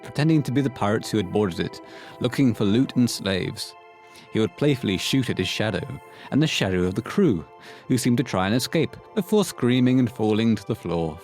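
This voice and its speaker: A man with a fairly low voice narrates a scripted horror audio drama. He reads as the storyteller, with faint music playing behind him. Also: lively intonation, brisk, darkish tone.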